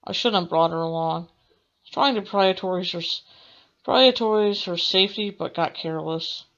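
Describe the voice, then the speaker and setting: A woman's voice: lowish voice, normal speed, fairly bright tone, muffled recording. A young woman let's player reading visual novel text aloud, expressively and with the odd stumble. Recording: game music behind the voice. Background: video game music.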